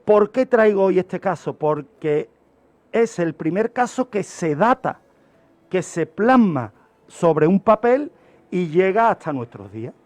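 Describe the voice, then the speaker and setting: High-pitched man explaining, didactic and emphatic. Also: sing-song, slow, a little mellow. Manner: didactic, emphatic, asks rhetorical questions. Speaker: criminologist guest. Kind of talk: explaining criminology and a historical case on a radio segment. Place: radio studio.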